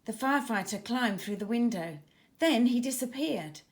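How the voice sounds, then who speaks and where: A woman children's author reading her storybook aloud with expressive, dramatic emphasis for young listeners. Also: on the high side, normal speed, a little hiss or hum.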